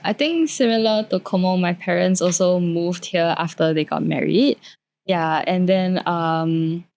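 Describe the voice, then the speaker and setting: A woman's voice: lowish voice, unhurried, vocal fry, darkish tone. A woman in her twenties with a Singaporean accent, talking casually as a talk show guest. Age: 20s. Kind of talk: casual chat.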